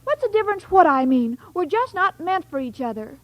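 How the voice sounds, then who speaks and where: A woman's voice: very high-pitched, very animated, fairly quick. An actress playing a sweet, dim-witted young woman in an old radio sitcom, earnest and naive, with a girlish voice. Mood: solemn, resigned. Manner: naive, earnest delivery of muddled sayings. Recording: noisy old radio recording, loud audience.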